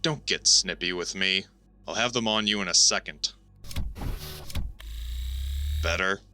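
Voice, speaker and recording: Low, forceful wisecracking mechanic man acting. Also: moderate pace. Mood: sardonic. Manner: brash, teasing, sardonic. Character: gruff, wisecracking male ship mechanic. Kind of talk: scripted sci-fi audio drama.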